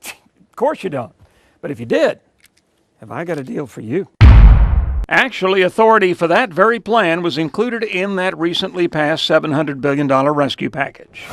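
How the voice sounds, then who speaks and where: A man's voice: high-pitched, fairly bright tone, a little hiss or hum. An American man delivering scripted TV reporting and reviews as a reporter.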